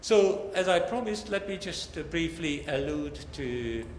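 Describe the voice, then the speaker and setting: An older man giving a technical academic lecture in a halting, deliberate way. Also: on the high side, animated. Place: large lecture hall. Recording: echoey hall with long reverb, background noise.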